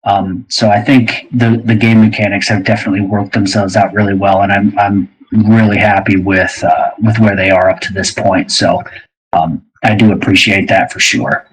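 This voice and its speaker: A man with a husky, lowish voice speaks in a casual discussion. He sounds reflective and appreciative, circling his point as he explains it. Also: steady tone, moderate pace, low-quality recording. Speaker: game creator on a panel.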